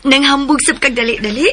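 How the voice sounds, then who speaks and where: A woman's voice: high voice, noisy background. A woman with a Cebuano accent voicing a naive, playful young woman in a radio drama, giggly and teasing.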